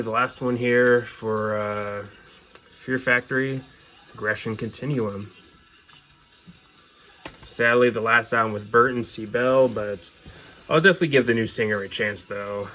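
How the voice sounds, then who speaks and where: A man's voice: lowish voice, steady tone, measured pace, long pauses, sharp tone, faint music behind. A man hosting a casual show-and-tell, talking in an informal, opinionated fan's way as they go through their collection. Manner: casual, opinionated fan talk. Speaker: host presenting their music collection.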